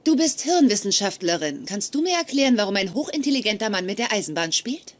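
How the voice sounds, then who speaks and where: An actress playing a casual, friendly young woman in a dubbed sitcom, colloquial and gently teasing. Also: medium pitch, sing-song, fast talker, natural voice. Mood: puzzled.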